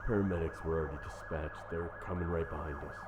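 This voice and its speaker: An actor playing a grave police officer in an audio drama, echoing with sirens behind him. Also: male voice, a bass voice, steady tone, fast. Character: grave police officer man at emergency scene. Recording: echoey, sirens and crowd behind.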